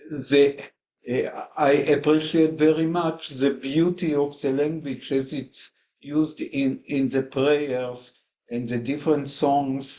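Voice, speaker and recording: Slow, mellow man, Hebrew-native speaker. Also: medium pitch. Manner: hesitant, many pauses and fillers, non-native phrasing. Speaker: class participant. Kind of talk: slow personal reflection in class discussion.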